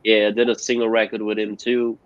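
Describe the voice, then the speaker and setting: A man from Germany, a guest musician answering interview questions haltingly with many fillers over a remote call. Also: lowish voice, monotone, a crowd in the background. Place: at home in Germany, joining remotely. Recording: dull, dry remote call audio.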